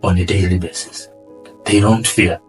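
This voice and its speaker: A man with a Ugandan accent, answering interview questions earnestly and often trailing off quietly. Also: deep voice, steady tone, normal speed, recorded from a distance, soft background music. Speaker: guest, asylum seeker.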